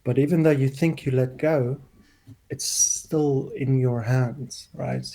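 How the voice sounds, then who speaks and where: A man's voice: medium pitch, steady tone, slow, mellow. A man hosting an interview over a video call, talking emphatically and gesturing with his hands. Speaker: interview host. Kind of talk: conversational interview. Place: small room, plain wall. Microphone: video call, wired earphones.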